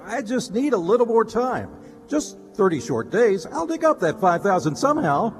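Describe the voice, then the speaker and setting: A man's voice: high voice, big pitch swings, moderate pace. An actor playing a weary, kindly and principled father in a stage radio play. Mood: pleading. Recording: hall reverb, faint music.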